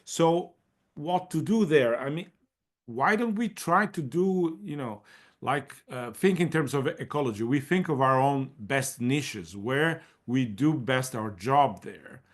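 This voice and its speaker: A man's voice: mid-range voice, expressive, takes it slowly. A man answering on an online panel, thinking aloud with frequent repetitions and restarts. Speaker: panelist leading a community of practice.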